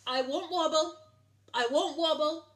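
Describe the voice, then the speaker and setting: A woman's voice: sits high, a little slow, echoey room, pitched above the performer's own voice. Woman putting on a stubborn, defiant talking jelly. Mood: screaming defiance. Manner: defiant, loud. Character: stubborn, angry talking jelly refusing to wobble.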